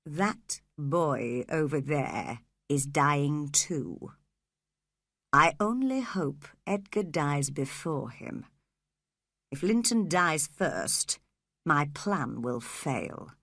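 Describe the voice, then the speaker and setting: Lady doing a cold creepy schemer guy, low and slow, quietly threatening. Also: expressive, no echo, studio quality, exaggerated voice. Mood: quietly scheming. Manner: quiet, controlled menace, curt orders. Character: cold, scheming, menacing grown man.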